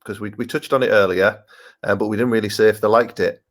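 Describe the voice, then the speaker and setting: A man's voice: on the low side, steady tone, fast. An English man hosting an interview, friendly, laughing along and sometimes stumbling over his words. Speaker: interviewer, host. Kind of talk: casual interview.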